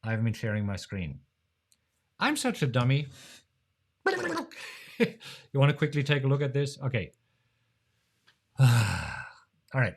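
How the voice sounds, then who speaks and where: A German-accented man hosts a relaxed live-stream question-and-answer session. His voice sits at an ordinary middle pitch, and he talks in a chatty, joking way. He laughs often and leaps sharply upward in pitch. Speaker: host of a live trading show.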